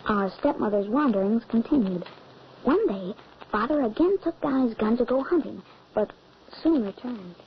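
A young farm boy, frightened and grieving, in an old radio drama. The voice is high and swings widely with emotion. The recording is muffled and vintage. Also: animated, moderate pace, a little hiss or hum. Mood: haunted, narrating. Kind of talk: 1940s radio drama acting.

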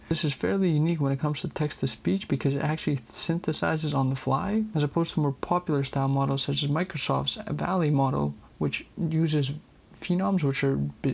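A man hosting a casual tech video, explaining software in a chatty, candid way. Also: a little high, creaky, slightly rough. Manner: chatty, candid about frustrations.

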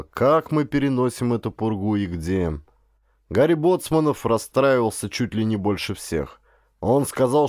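A man narrating a literary audiobook in an expressive, dramatic storytelling manner. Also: mid-range voice, no room sound, studio quality.

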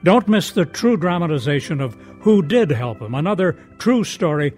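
A man's voice: fairly high pitch, sing-song, moderate pace, a little mellow, soft background music. A man announcing on the radio, reading a scripted promo for a dramatized story.

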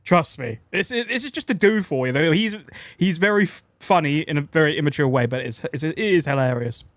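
A man's voice: fairly high pitch, expressive, a little fast, a bit bright, no echo. A young man giving casual reaction commentary to a video, repeating himself to insist on his points. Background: faint music from the video being watched.

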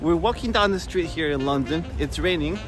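A man's voice: a little high, lively intonation, a little fast, music in the background. A man in his thirties giving a casual saxophone lesson while walking outdoors on a rainy street, talking upbeat and chatty into a phone held at arm's length. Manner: chatty, upbeat, says "okay?" often. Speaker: saxophone teacher.

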